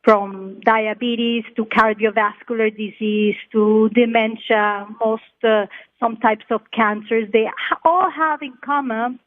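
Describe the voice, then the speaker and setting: Colombian-accented woman on a tinny phone line, earnest, fairly high voice. Good for a health-expert guest. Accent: Colombian Spanish. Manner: earnest, explanatory, light laughs. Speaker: cookbook author, Chinese medicine practitioner.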